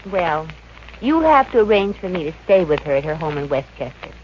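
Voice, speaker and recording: A woman's voice: fairly low pitch, sing-song, brisk. An actress playing a good-natured, wisecracking working woman in a 1950s radio comedy, with a wry, breezy manner. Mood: wry, sheepish. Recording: muffled, noisy old radio recording.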